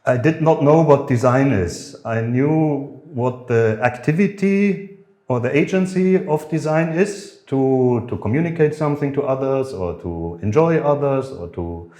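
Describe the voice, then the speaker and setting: Middle-aged man, halting. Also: mid-range voice, lively intonation, warm tone, echoey room. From Germany. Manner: halting, many fillers and restarts. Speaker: guest. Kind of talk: conversational podcast interview.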